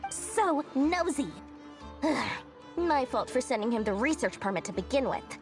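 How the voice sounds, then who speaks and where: A woman's voice: high-pitched, expressive, measured pace. A woman voicing a shrewd, playful young businesswoman in a video game, breezy, self-assured and casually evasive. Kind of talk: video game story dialogue acting. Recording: music behind the voice.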